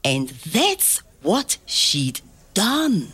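An older woman telling a family story to a child, slow and expressive, pausing to ask gentle questions. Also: lowish voice, breathy edge. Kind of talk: oral history storytelling to a child.

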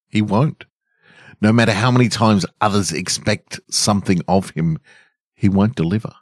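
A middle-aged man hosting a podcast and reading stories in a measured way. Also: deep voice, no room sound, clean studio sound. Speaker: podcast host and story reader. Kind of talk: scripted story narration and casual podcast outro.

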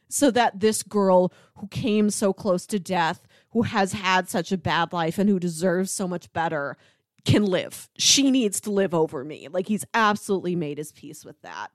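A woman's voice: on the low side, animated, measured pace, no room sound, studio quality. A woman talking casually as a podcast co-host about film, explaining earnestly and peppering her talk with "like".

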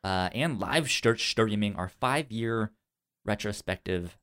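A man co-hosting a casual movie podcast, speaking in a halting way with stammered, repeated syllables. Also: lowish voice, expressive, fairly bright tone. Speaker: podcast co-host.

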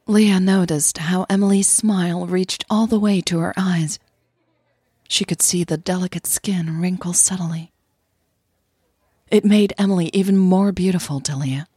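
Soft breathy lady telling a story on her podcast, husky, really dry sound. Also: fairly low pitch, fairly even intonation, unhurried, dry recording. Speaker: podcast host and narrator. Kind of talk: scripted story narration and listener thanks.

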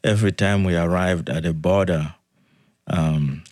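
A man telling his life story as an interview guest, talking in a halting, soft-spoken way with frequent restarts. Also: sits low, steady tone, no echo, clean studio sound. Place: podcast studio.

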